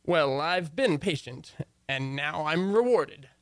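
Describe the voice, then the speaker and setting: A man's voice: a little high, animated, slow, no echo. A man playing a dry, wry radio-comedy husband. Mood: eager, polite. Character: wry, long-suffering husband and office worker, narrating his story. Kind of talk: old-time radio comedy acting.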